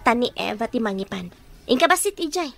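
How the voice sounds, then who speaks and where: A woman with an Ilocano Filipino accent playing a bossy, sharp-tongued, scheming wife and employer in a radio drama. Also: a little high, sing-song, brisk, soft background music. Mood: curt. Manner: imperious, snapping orders.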